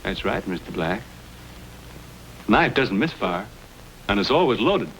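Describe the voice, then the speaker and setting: A man's voice: on the low side, very animated, unhurried, a bit bright. Bold young frontiersman, hissy old recording. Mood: earnest, persuasive. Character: bold, courteous young frontiersman with folksy charm. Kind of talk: old-time radio Western acting. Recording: noisy old radio drama recording.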